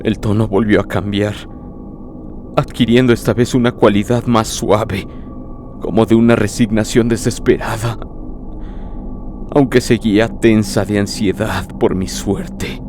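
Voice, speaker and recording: A man narrating a horror story in the first person, in a grave, literary, dramatic manner with deliberate phrasing. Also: on the low side, fairly even intonation, background noise. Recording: prominent music behind the voice.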